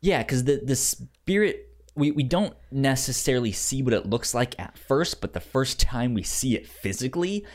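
A man's voice: mid-range voice, expressive. A man co-hosting a film podcast in casual chat, stuttering over sounds and words and acting out lines he paraphrases. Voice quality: stutter, repeats sounds and words many times. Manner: halting, acts out paraphrased lines. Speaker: film review podcast co-host.

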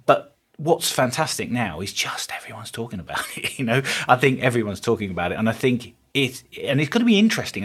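A man's voice: fairly low pitch, a bit bright, slightly rough. A middle-aged man from England, a guest in a casual interview, talking earnestly and often repeating words as he gathers his thoughts. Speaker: podcast guest, business consultant.

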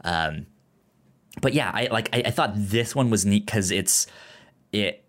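A man co-hosting a casual film discussion podcast, speaking haltingly and often stammering or repeating words. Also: fairly low pitch, lively intonation, a bit bright. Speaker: podcast co-host discussing films.

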